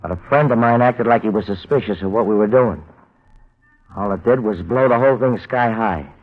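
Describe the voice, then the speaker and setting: Man acting cornered small-time hoodlum, fairly low voice, bitter, sardonic, slangy, dull old radio recording. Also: brisk, lightly acted. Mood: bitter, rueful. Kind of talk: 1950s crime radio drama acting.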